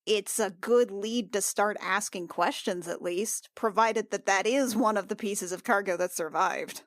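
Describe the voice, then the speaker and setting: A woman with a mid-pitched voice, recorded dry and close, plays a tabletop game. She chats casually and describes her character's actions in an offhand, conversational style. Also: unhurried, dry recording. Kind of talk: casual chat and character narration.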